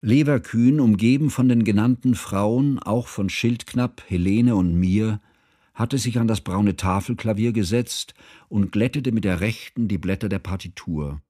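An actor playing a sober, bookish friend who narrates a literary radio drama in a measured, formal, precise manner. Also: male voice, on the low side, warm tone. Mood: grave, subdued.

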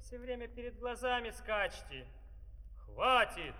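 A man's voice: extremely high pitch, very animated, unhurried, heavily acted. A man playing a boastful, spoiled little boy with a gun-happy streak in a comic opera, singing and declaiming brashly. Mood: bratty. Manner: swaggering, brash. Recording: echoey distant vintage vinyl, loud music behind.

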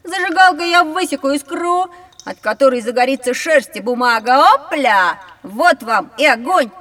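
A high-voiced young boy in a children's audio drama. He is curious and sure of himself, eager to explain how things work and quick to ask questions. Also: animated, fairly bright tone, in a large hall. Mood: confident, eager. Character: curious, confident, know-it-all young boy.

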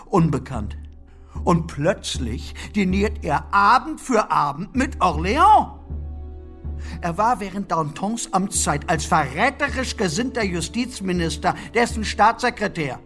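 Forceful, accusing revolutionary politician. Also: male voice, high-pitched, sing-song, a little slow, soft background music, full character voice. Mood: indignant. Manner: rhetorical, emphatic, swinging from hushed to loud. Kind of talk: German radio drama acting.

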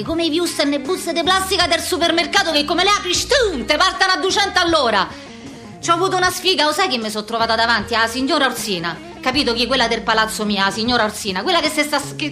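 High-voiced brash young Roman woman. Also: fast, bright, forceful tone, soft background music. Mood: aggrieved, rambling. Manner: slangy, combative, rambling street talk. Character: brash, foul-mouthed young working-class Roman woman, quarrelsome and aggrieved. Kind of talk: theatrical comic monologue for radio.

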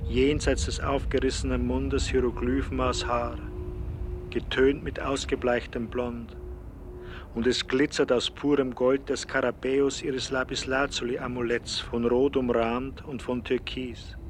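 Male reader for radio-play verse: solemn, declamatory, very even intonation, clear outdoor noise behind. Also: medium pitch, unhurried. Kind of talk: poetic radio drama recitation.

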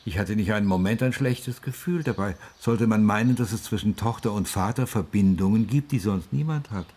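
Radio drama: grieving father in middle age, very low rough-edged voice, reflective delivery. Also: darkish tone. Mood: reflective, puzzled. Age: middle-aged. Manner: halting, reflective. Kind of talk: radio drama, interview-like monologue.

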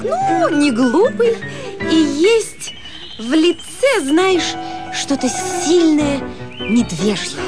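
An actress playing a flighty young wife in a radio drama, effusive and cajoling, drawing out her words theatrically. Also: high-pitched. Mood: enthusiastic, gushing. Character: gushing, flighty, coaxing young wife at a summer cottage.

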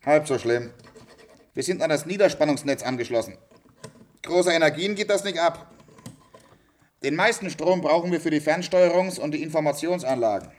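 A man's voice: on the high side, moderate pace, pauses often, lightly acted. An actor playing a chummy, nosy handyman in a German science-fiction radio drama, talking in a blunt, colloquial way. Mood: matter-of-fact. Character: chummy, nosy institute handyman, matter-of-fact working man.

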